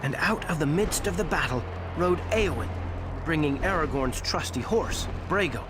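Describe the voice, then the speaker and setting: Man as an animated hobbit storyteller, fairly high-voiced. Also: moderate pace, noisy background, natural voice. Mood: dramatic, storytelling. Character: kindly hobbit storyteller recounting adventures to children. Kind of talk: scripted storybook narration. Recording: loud music under the narration.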